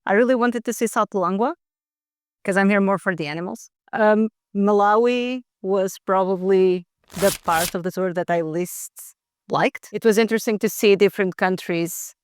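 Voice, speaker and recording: A woman's voice: mid-range voice, moderate pace, slight background noise. A woman who joined a tour, talking about it in a reflective, hesitant way. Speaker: tour participant.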